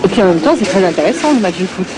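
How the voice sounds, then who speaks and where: A woman's voice: mid-range voice, lively intonation, very fast, loud music behind the voice, poor audio. A woman, a local resident, chatting easily in a street interview.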